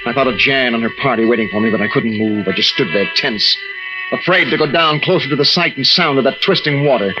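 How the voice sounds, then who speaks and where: An actor playing a tense, fearful lighthouse keeper and husband in an old-time radio drama, snappish and evasive. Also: male voice, medium pitch, quick pace, close to an everyday voice. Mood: haunted, brooding. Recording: muffled old radio recording with music.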